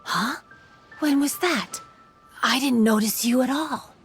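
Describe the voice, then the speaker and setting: Slow, creaky, wistful spirit girl. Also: high-pitched, soft background music. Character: wistful ancient female yokai spirit in girl's form. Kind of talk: video game voice acting.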